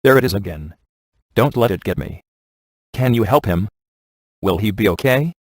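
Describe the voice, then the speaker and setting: A timid museum curator in a video game. His voice sits fairly low in a dry recording, and he speaks politely, with a hesitant, anxious manner. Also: animated, moderate pace, no room sound. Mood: frightened. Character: polite, nervous male museum curator, easily frightened.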